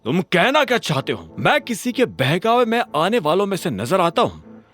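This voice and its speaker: A man voicing a haughty, hot-tempered male office manager in an audio story, bossy and quick to shout. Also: high-pitched, huge pitch swings, faint music behind, pitched above the performer's own voice, heavily acted. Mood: angry. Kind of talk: audiobook character voice.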